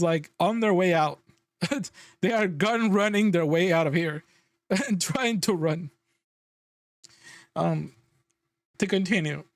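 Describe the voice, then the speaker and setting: High-pitched man ranting, dry close sound. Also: no room sound. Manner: exasperated, sweary, emphatic. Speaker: livestreamer reacting to news. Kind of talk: casual ranting reaction commentary.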